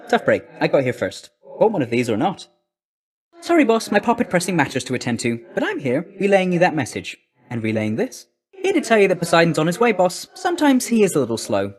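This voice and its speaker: An actor playing a brisk, cheeky young messenger god in a video game, with a breezy, slangy, chummy, clipped delivery. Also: male voice, a little high.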